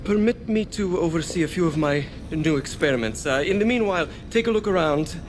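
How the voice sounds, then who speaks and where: Actor as high-voiced, eager Victorian inventor. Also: male voice, animated, a little fast, close to an everyday voice. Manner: polite, hesitant, enthusiastic. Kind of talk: dramatic video game acting. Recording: clear background noise.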